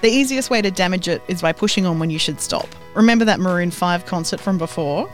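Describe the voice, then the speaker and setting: A woman's voice: lowish voice, fast talker, faint music behind. A woman co-hosting a podcast, playfully teasing her co-host while explaining with mock authority. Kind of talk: scripted podcast chat with banter. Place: recording studio.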